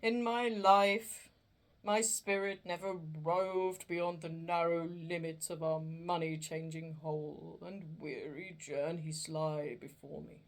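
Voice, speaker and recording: A woman voicing a doomed, chained male ghost, speaking slowly and solemnly in a storybook reading. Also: fairly even intonation. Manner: slow, booming and drawn out.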